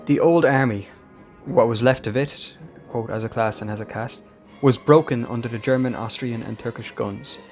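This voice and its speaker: A man reading a scripted history narration as the host of a podcast. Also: medium pitch.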